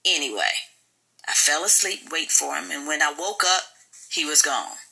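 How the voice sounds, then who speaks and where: A woman's voice: sits low, animated, moderate pace, gravelly, sharp tone, slightly rough, full character voice, rough recording. A woman voicing an evasive, self-justifying husband in an audiobook, talking defensively. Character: defensive, evasive cheating husband, adult man. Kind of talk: audiobook character dialogue.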